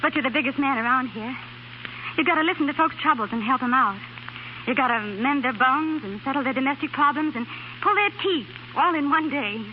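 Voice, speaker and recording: Actress as high-voiced sweetheart, hissy old radio. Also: female voice, a little fast, lightly acted. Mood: bright, proud. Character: devoted young sweetheart of a doctor, plucky, tender, supportive. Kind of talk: 1930s radio drama acting. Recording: muffled, hissy 1930s radio broadcast.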